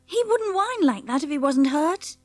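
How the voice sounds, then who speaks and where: Very high-pitched, earnest young girl. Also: lively intonation, moderate pace, a little mellow, no echo, faint music behind. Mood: anxious. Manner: fretful, intense. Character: earnest young girl, anxious and strong-willed. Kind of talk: audiobook character dialogue.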